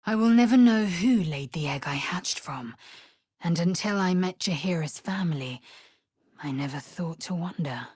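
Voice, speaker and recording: An actress playing a fierce, proud young female alien warrior in a video game, with a husky, raspy voice and a clipped, haughty, formal manner. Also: on the low side, lively intonation, moderate pace, darkish tone, no echo, clean studio sound. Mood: reflective. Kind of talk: video game character voice acting.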